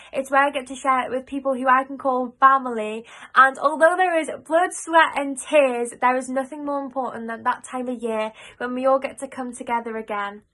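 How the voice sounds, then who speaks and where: A woman gives a testimonial about her amateur theatre company. Her voice is high-pitched and bright, with a forceful edge. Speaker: amateur pantomime company member. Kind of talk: testimonial to camera.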